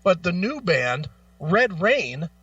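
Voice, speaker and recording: High, bright-voiced, blunt male radio co-host. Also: big pitch swings, slow, husky, dry recording, recorded from a distance, lo-fi sound, soft background music. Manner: blunt, profane. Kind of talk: casual opinionated chat.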